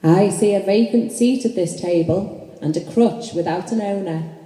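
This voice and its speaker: A woman's voice: mid-range voice, moderate pace, dark tone, lightly acted. A woman playing a stern, solemn and reproachful ghostly spirit in a radio play, speaking portentously. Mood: solemn warning. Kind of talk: amateur stage radio play acting. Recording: echoey hall with long reverb.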